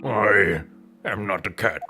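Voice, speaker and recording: A man voicing a despairing, theatrical scholar who flees reality in a video game, speaking haltingly. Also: fairly low pitch, over music. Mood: blank denial. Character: despairing, melodramatic Japanese scholar fleeing reality.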